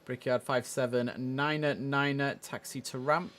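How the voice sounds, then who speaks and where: A man with a mid-pitched voice streams an air traffic control game over faint background noise. He chats casually in muttered asides, then switches to clipped, formal controller calls. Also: fairly even intonation, a little slow, fairly bright tone. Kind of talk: live game stream commentary mixed with radio-style controller calls.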